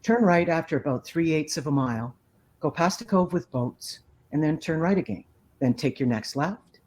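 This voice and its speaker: A woman's voice: low-pitched, normal speed, a little mellow, slight background noise, pitched above the performer's own voice, natural voice. Older park worker read by a woman, plain-spoken, helpful and easygoing, for a memoir audiobook. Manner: plain, encouraging, instructive. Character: older, helpful, easygoing park maintenance man giving directions.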